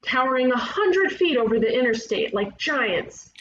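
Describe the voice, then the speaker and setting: A woman's voice: high-pitched, animated, moderate pace, highs cut off. Woman playing brash young cartoon detective, roomy noisy recording. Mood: grandiose pitching. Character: brash, excitable young female ghost detective, donut-loving twin sister. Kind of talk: animated comedy table read.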